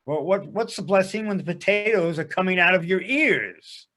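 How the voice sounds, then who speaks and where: Man voicing a cheeky, wry poor joker, high-pitched. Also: moderate pace, bright, forceful tone.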